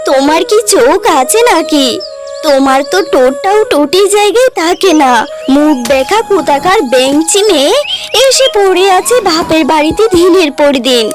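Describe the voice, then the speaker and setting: A woman's voice: very high voice, animated, normal speed, music in the background. A woman voicing a vain, selfish, snappy young wife in a cartoon story, haughty and scolding. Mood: scornful, scolding.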